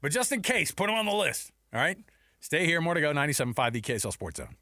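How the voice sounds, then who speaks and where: A man's voice: fairly high pitch, very animated, fast talker, pushed and bright, no echo. An American man hosting sports radio, talking casually and conversationally on a close studio mic, with rhetorical questions and asides. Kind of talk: casual sports talk commentary. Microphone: close studio headset mic.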